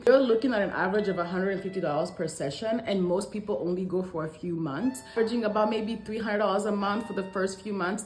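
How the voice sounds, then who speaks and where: A woman's voice: fairly low pitch, echoey room. A woman in her thirties from Congo talking to camera as a lifestyle vlogger, conversational and emphatic. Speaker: vlogger, stay-at-home mother. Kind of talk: scripted talk to camera in a lifestyle vlog.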